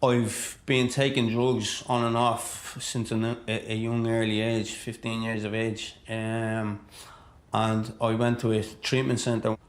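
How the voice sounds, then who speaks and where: A man's voice: on the low side, flat delivery, slow. A man from inner-city Dublin with a thick Irish accent, giving an informal personal account as a client of a charity. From Dublin, Ireland. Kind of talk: informal personal testimony to camera.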